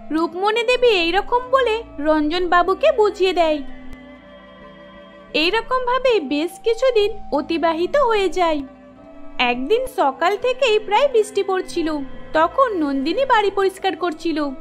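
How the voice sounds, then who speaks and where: Very high-pitched woman storyteller. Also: expressive, measured pace, faint music behind. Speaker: narrator. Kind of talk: scripted cartoon story narration.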